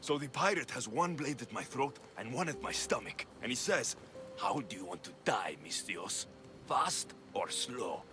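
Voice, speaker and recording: A man playing a confident, cocky but warm-hearted young mercenary hero in a video game, with a plain-spoken delivery. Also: medium pitch, expressive, moderate pace, lightly acted. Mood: boastful. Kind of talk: cinematic video game acting. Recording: cinematic music behind the voice. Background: music.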